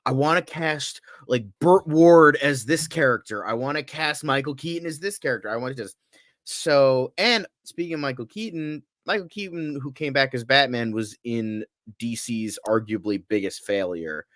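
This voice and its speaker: A man co-hosting a casual podcast, giving opinions as he thinks aloud, rephrasing in a halting flow. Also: medium pitch, a bit bright, dry recording, studio quality. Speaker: podcast co-host.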